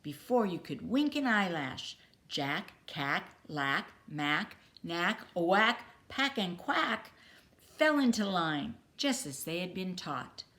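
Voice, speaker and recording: Slow, lower-voiced woman reading a storybook. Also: sing-song, fairly bright tone. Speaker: storybook reader. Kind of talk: children's picture book read-aloud.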